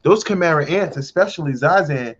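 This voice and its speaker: A man's voice: a little high, animated, a little fast, husky. Man explaining on a muffled call. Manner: explanatory, lays out arguments step by step. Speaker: guest. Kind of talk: casual group discussion. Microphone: online voice call. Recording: dull lo-fi call audio.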